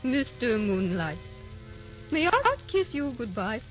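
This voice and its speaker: An actress playing a gentle, youthful woman with a secret sorrow, tender and wistful, in old-time radio drama style. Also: a little high, very animated, slow pace. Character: youthful, gentle, secretly sorrowful wife posing as a distant cousin. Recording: dull old radio recording, music behind.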